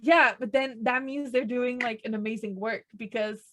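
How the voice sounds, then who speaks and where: A young woman whose first language is French chats with a friend on a podcast. Her voice sits a little high, and she tells stories loosely, often restarting her sentences and laughing along the way. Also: fairly even intonation, normal speed, a bit bright. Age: young adult. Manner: chatty storyteller with frequent restarts and laughter. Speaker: podcast co-host. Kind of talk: casual chat.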